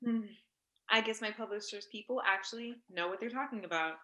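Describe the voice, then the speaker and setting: A woman's voice: medium pitch, a little fast, sharp tone, lightly acted. A woman in a staged play reading, playing a prickly, blunt academic author who talks in terse, disdainful questions. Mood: probing, dry. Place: indoors on a Zoom call.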